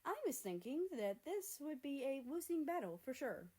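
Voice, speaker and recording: A woman voicing an exasperated mother who scolds her son in a shrill, fussy way, in a story reading. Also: big pitch swings, moderate pace, a little mellow. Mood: relieved, fussy.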